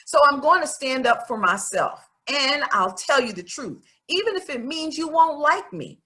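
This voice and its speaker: A woman's voice: high-pitched, very animated, normal speed, pushed and bright, voice pushed up, heavily acted. A woman voicing an outspoken, stubborn young schoolgirl in a storybook, reading her letters aloud in a candid, folksy, emphatic way. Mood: candid, chatty. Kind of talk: expressive storybook read-aloud in first person.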